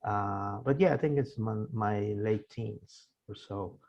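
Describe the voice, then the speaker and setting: A man in his sixties from Argentina, a native Spanish speaker, answering interview questions in a halting way with many fillers and restarts. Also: fairly low pitch, vocal fry, a little mellow, highs cut off. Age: 60s. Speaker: guest author. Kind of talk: casual interview.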